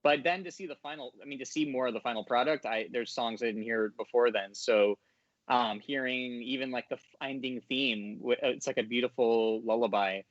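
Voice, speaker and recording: A man's voice: medium pitch, moderate pace, no room sound, highs cut off. A man chatting casually as a podcast guest, hesitant and often searching for his words. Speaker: audio drama voice actor, podcast guest. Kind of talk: casual interview talk.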